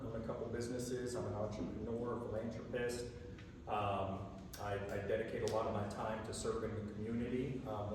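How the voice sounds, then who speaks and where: A man in his forties from Ohio who briskly lists his roles while introducing himself at a public forum. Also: lowish voice, a little mellow. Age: 40s. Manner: brisk, list-like self-presentation. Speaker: township trustee candidate, business consultant. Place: large echoing public hall. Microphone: distant microphone. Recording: echoey hall from far off, faint noise.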